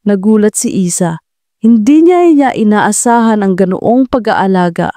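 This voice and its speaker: Warm-voiced woman narrating a romance. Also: medium pitch, moderate pace, no echo. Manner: steady storytelling delivery. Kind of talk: scripted audio drama narration.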